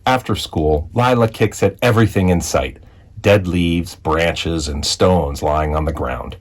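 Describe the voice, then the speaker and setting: A man reading a children's picture book aloud as a storyteller, with expressive narration and deliberate dramatic phrasing. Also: sits low. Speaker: read-aloud storyteller, school executive.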